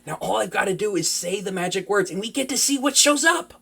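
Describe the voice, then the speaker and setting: Performer acting a young fantasy wizard, earnest, flustered, anxious stammer, chatty, very lively pitch, intimate audio drama. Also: a little fast, vocal fry, slightly rough, full character voice. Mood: thoughtful, chatty.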